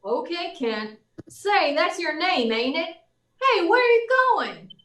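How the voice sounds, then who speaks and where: A woman's voice: very high-pitched, big pitch swings, slow, fairly bright tone, distant mic, full character voice. A woman playing a folksy, plain-spoken small-town police chief in a 1940s-style radio drama. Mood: convinced, eager. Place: live online performance in a roomy space. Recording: roomy echo, off the mic.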